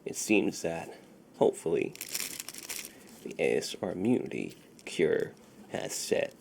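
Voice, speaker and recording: A man speaking softly and breathily, often whispering, in an unhurried, reassuring ASMR roleplay as a friendly dealer. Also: low voice, big pitch swings, vocal fry, a little hiss or hum. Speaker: ASMR performer. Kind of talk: soft-spoken ASMR roleplay.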